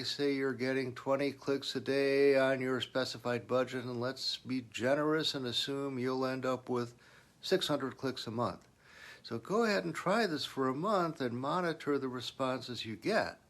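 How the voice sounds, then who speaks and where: Man explaining business advice, mid-pitched voice. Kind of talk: semi-scripted explanatory narration.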